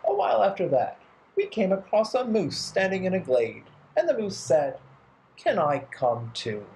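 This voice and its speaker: A man in his thirties narrating a children's storytime playfully and theatrically, with deliberate phrasing. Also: high voice, wildly swooping pitch, gravelly, a little mellow, poor audio. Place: bright living room indoors.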